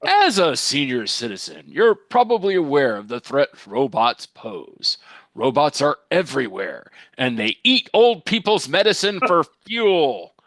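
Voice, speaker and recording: A man playing an earnest older TV actor as a spokesman, reading a mock commercial with grave, deliberate emphasis. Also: very animated, a bit bright, dry recording, heavily acted. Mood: grave, ominous. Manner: grave, deliberate sales pitch with dramatic emphasis. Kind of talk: mock television commercial read.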